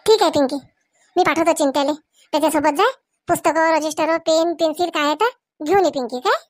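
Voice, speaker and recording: A woman's voice: high-pitched, fast talker, a little hiss or hum, close to an everyday voice. A woman voicing a caring but bossy village aunt in a comedy cartoon, advising and reassuring. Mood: helpful.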